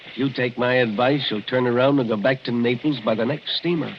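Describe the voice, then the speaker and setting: Actor as folksy old-timer in noisy vintage radio. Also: male voice, medium pitch, fairly even intonation, brisk, lightly acted. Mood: grave warning. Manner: folksy, emphatic storyteller. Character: rough, plain-spoken old local man, ominously cautionary. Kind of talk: 1940s radio acting. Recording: muffled, hissy old radio recording.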